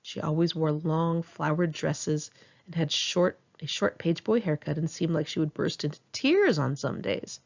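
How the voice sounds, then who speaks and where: A woman with a fairly low voice reads her memoir aloud in an informal audiobook style. She is candid and wry, slipping into chatty, self-mocking asides. The recording is somewhat muffled and lo-fi. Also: a little slow, creaky, darkish tone. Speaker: author reading own memoir. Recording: dull, muffled lo-fi home recording.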